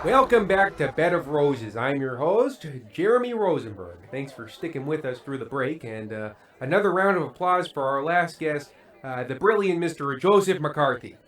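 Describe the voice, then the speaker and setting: A man's voice: fairly high pitch, lively intonation, moderate pace, background noise, natural voice. A man playing an anxious, prim, fading variety show host in a radio comedy, earnest and hesitant in delivery. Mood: awkward showmanship. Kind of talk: old-time radio comedy acting.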